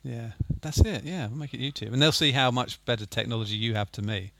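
A man co-hosting a casual podcast, playful and self-mocking, with an animated delivery. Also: medium pitch, quick pace, vocal fry, no echo. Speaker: podcast co-host. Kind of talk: casual chat.

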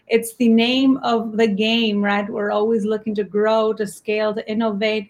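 A woman with an Albanian accent hosting an interview show over a video call, upbeat and enthusiastic. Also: mid-range voice. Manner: enthusiastic, repeats "amazing". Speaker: podcast host. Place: home office in New York.

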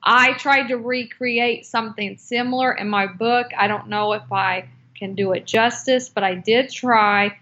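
Slow-speaking woman with dull lo-fi audio. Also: fairly high pitch, steady tone, bright, forceful tone, a little hiss or hum. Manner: slow, hesitant, with many fillers and restarts. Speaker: guest, cocktail book author. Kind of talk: unscripted interview answers.

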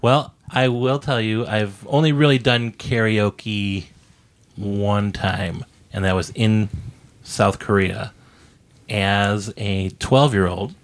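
A man's voice: fairly low pitch, fairly even intonation, slow. Boastful joking man co-host. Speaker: podcast co-host. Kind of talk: casual podcast chat.

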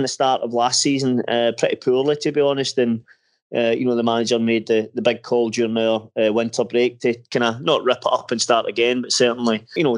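A Scottish man in his thirties, a football coach, answering interview questions in a casual, hesitant way with lots of restarts and fillers. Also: mid-range voice. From Scotland.

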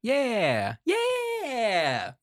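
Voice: medium pitch, huge pitch swings, very slow, dry recording, clean studio sound. A player at a tabletop game, chatting and teasing the others. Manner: playful, teasing.

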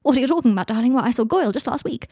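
A woman's voice: fairly high pitch, lively intonation, very fast, warm tone, breathy edge, no room sound, studio quality, pitched above the performer's own voice. A woman voicing a brittle, giddy young man on drugs who gushes theatrically in an audiobook story. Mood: desperate gushing. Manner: theatrical, gushing, calls people darling. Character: giddy, brittle, high-pitched drugged young man, falsely gushing.

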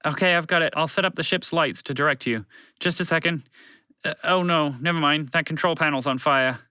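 A man's voice: on the high side, fairly quick, sharp tone, clear, resonant tone, no room sound, pitched above the performer's own voice. A man voicing a bumbling, flustered alien announcer who rambles and corrects himself in a children's story. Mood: panicked pleading. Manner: hesitant, rambling, self-correcting.

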